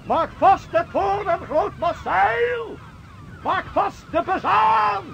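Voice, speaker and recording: An actor playing a dutiful ship's officer who serves under a captain in a radio drama. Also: male voice, extremely high pitch, lively intonation, slow, creaky, fairly bright tone, full character voice. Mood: shouting orders. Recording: muffled, noisy shipboard radio scene.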